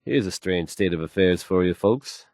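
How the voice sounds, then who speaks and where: Casting: male actor for smooth wasteland radio host, lower register, dry sound, confident wry patter between songs. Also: normal speed, darkish tone, dry recording, lightly acted. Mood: wry, upbeat. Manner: folksy, wry, addresses listeners as folks.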